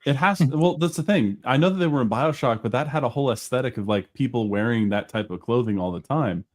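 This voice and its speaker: A man's voice: mid-range voice, expressive, fast. A man chatting casually as a panelist on an online show, explaining things as he goes. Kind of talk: casual chat.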